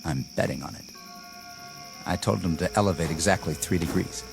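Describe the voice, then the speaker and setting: Casting: cocky, bloodthirsty army lieutenant, lowish voice, harsh and scornful, barking orders over heavy score. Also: male voice, brisk, gravelly, over music. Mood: cold. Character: arrogant gung-ho young male army lieutenant. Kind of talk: 1960s TV drama acting. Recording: soundtrack with loud score.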